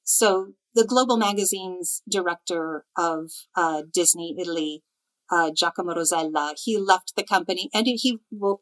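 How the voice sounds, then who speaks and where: A woman, chatting relaxed about her path as a translator in an interview, with frequent ums. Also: fairly low pitch, low-quality recording. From United States, near Chicago. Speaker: literary translator, guest. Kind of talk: conversational interview storytelling.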